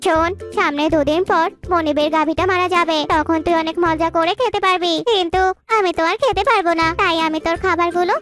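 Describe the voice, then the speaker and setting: A woman's voice: extremely high pitch, steady tone, fairly quick, a bit bright, faint music behind. A woman voicing a cunning, greedy pet rat who talks persuasively in a children's cartoon. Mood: scheming. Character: sly, greedy pet rat tricking a dog.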